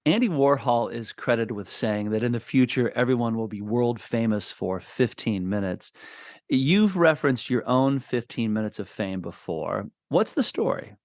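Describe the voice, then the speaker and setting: A male interviewer asking prepared, clearly phrased questions. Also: mid-range voice, expressive, moderate pace, a little mellow, no echo, clean studio sound.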